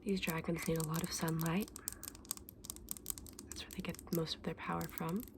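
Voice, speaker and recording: A woman whispering breathily in a gentle, unhurried ASMR roleplay as a shopkeeper talking to a customer. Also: deep voice, level tone, noisy background. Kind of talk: whispered ASMR roleplay as a dragon egg saleswoman.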